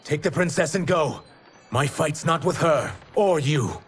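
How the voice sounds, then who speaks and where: An actor playing an earnest, defiant rebel fugitive in a video game, speaking in a grave, declamatory way. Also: male voice, fairly high pitch, measured pace, slight background noise, lightly acted. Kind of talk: video game cutscene acting. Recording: loud music behind the voice. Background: loud dramatic music.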